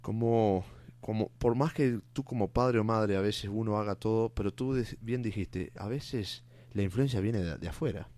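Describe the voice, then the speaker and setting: A man's voice: fairly low pitch, normal speed, hardly any pauses, dark tone. A man hosting a talk show, asking questions conversationally and filling in with short acknowledgments. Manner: conversational, with many short backchannels. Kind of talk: casual interview talk.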